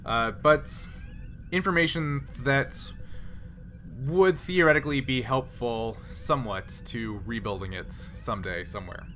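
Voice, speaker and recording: A man with a bright, average-pitched voice runs a tabletop role-playing game. He explains things haltingly, piecing the narration together as he goes. A faint layer of noise sits behind him. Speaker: game master.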